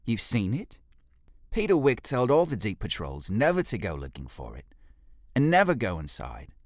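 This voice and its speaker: A man's voice: fairly low pitch, huge pitch swings, moderate pace, no echo, clean studio sound, voice pushed up. A man voicing a scruffy male ape watchman in an audiobook, servile yet cheeky and rustic in manner. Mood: grudging, servile.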